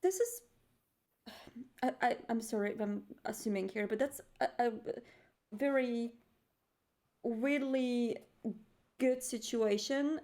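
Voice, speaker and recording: A woman playing in a tabletop roleplay, improvising in character in a hesitant, halting way with stammers. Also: fairly high pitch, vocal fry, darkish tone. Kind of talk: improvised in-character game talk.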